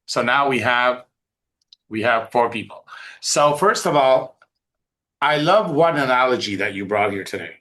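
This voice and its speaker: A man's voice: medium pitch, expressive, a little slow, fairly bright tone. A man hosting an online team call, talking off the cuff and insistently, repeating points and checking that listeners follow. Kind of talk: unscripted motivational talk.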